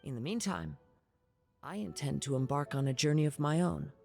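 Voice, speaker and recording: A man playing a serene, courteous young wandering samurai in an anime-style game, speaking calmly in formal, measured phrases. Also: on the high side, creaky, faint music behind. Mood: calm, earnest.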